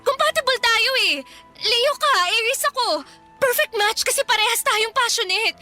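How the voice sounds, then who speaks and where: Clingy heartbroken girlfriend, radio drama, super high sharp voice, pushy and pleading. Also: moderate pace. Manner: pleading, insistent, emotional. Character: distraught clingy young woman, astrology-obsessed jilted girlfriend. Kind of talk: Filipino radio drama acting. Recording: faint music behind the voice.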